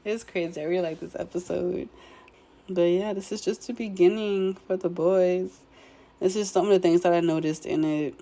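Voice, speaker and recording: Hoarse, slightly nasal woman chatting. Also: on the low side, steady tone, normal speed, warm tone, low-quality recording. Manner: chatty, laid-back, frequent throat clearing. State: hoarse, recovering from illness. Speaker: show commentator. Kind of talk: casual recap commentary.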